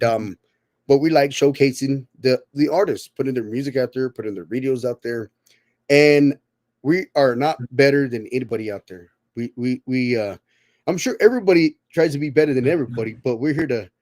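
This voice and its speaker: A man's voice: medium pitch, a little mellow, no room sound. A man in his thirties hosting a casual podcast chat, rambling and often repeating his words. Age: 30s. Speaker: podcast host.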